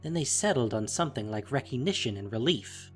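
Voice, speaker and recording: A man's voice: mid-range voice, lively intonation, fairly quick, faint music behind, natural voice. A man narrating a fantasy audio drama in a dramatic, scripted style.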